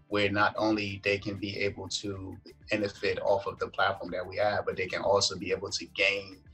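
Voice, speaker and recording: Man, podcast guest, gravelly low voice, halting interview answers, faint music behind. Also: sharp tone, poor audio. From Liberia, moved to the United States at five. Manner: halting, searching for words. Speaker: podcast guest, events entrepreneur.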